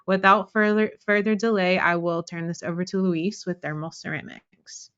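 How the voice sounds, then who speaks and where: A woman hosts the opening of a corporate webinar, reading a prepared welcome steadily and formally. Her voice is somewhat low and smooth. The sound is a little dull. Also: little pitch movement, moderate pace, fairly bright tone. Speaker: marketing specialist hosting a webinar. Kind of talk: scripted webinar introduction.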